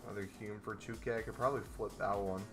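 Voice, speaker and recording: A man's voice: fairly low pitch, fairly even intonation, quick pace, gravelly, music in the background. A young man in casual live-stream commentary, laid-back and talking to his chat from a small room. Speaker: trading streamer. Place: small room indoors, gaming chair.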